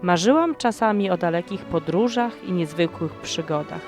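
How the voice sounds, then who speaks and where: A woman narrating a first-person horror story in a slow, dramatic storytelling manner. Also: lowish voice. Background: background music.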